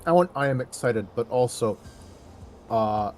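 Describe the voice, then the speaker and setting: A man's voice: medium pitch, animated, unhurried, darkish tone, faint music behind. A man chatting casually while gaming with a friend, hesitant and thinking aloud. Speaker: friend playing along.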